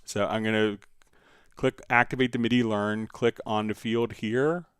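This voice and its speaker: A man hosting a live stream, casually explaining software step by step while he demonstrates it. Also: lowish voice, fairly even intonation, unhurried, clean tone, no room sound, studio quality.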